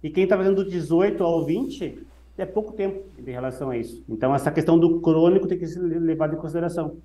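A man's voice: on the high side, fast, mellow. A man co-hosting a running podcast, explaining points in casual talk and repeating phrases for emphasis. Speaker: running coach, podcast co-host.

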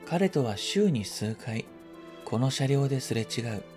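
A man reading a first-person literary story as scripted narration, in a quiet, reflective, measured manner. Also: mid-range voice, animated, soft background music. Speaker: story reader.

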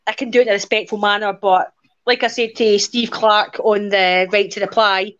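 A woman's voice: medium pitch, steady tone, moderate pace, fairly bright tone, dull audio. A woman, a podcast guest chatting casually in an outspoken, defiant way. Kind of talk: casual chat.

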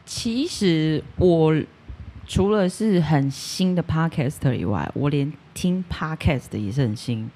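A woman's voice: sits low, lively intonation, takes it slowly, dark tone, breathy edge. A woman chatting casually as a guest on a podcast. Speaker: guest podcaster, ASMR creator. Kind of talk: casual interview chat.

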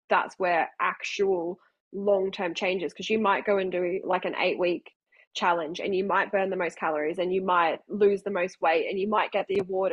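A woman's voice: lowish voice, breathy edge, no echo, highs cut off. A woman hosting a podcast interview, speaking earnestly as a health professional and repeating words for emphasis. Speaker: podcast host and health practitioner.

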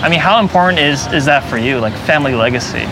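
A man in his twenties interviewing, asking questions with quick restarts. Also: on the high side, expressive, music in the background, poor audio. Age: 20s. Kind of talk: casual interview.

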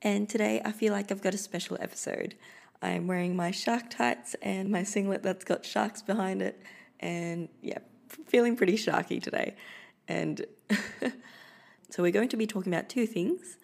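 A young woman hosting her own podcast in a relaxed, chatty manner, now and then breaking into a laugh. Her voice is a little low and has a gravelly edge. She speaks Filipino as her first language. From Philippines. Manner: chatty, informal, light laughter. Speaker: podcast host. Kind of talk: solo podcast monologue. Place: Australia.

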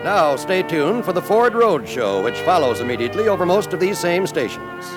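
A man's voice: high-pitched, very animated, normal speed. An American man announcing in the scripted style of 1950s network radio. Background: music with brass.

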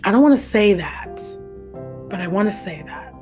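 A woman's voice: mid-range voice, animated, moderate pace, a little mellow, soft background music. A woman co-hosting a casual true-crime podcast, talking earnestly and chattily and laughing easily. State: hoarse, losing her voice. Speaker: podcast co-host.

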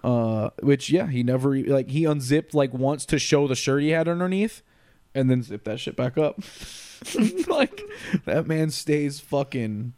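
A man's voice: mid-range voice, lively intonation, a little slow, darkish tone. A man in his late twenties co-hosting a podcast, chatting casually, rambling, laughing often and swearing freely. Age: late 20s. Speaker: podcast co-host. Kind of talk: casual chat.

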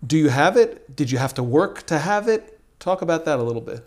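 A man's voice: fairly high pitch, sing-song, brisk, darkish tone. A man hosting a podcast interview, chatty and upbeat, often laughing along with his guest. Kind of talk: casual interview conversation.

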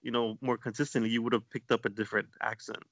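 A man's voice: medium pitch, flat delivery, quick pace, dry recording, muffled recording. A man from the southern United States, co-hosting a podcast in casual chat, rambling as he searches for words. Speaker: podcast co-host.

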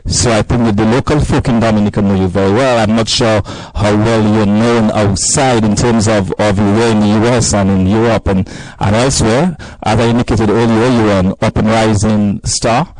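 A man's voice: fairly low pitch, steady tone, normal speed, hardly any pauses, a little mellow, no room sound, poor audio. A man hosting a live radio interview, hesitant and often repeating his words as he speaks.

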